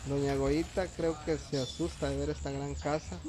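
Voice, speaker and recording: A man's voice: fairly high pitch, flat delivery, moderate pace. A man with a Guatemalan accent hosting a casual vlog, talking into a phone outdoors on a building site. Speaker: vlog host. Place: open, roofless block-house building site outdoors. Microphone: handheld phone behind the camera. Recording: noisy outdoor phone recording.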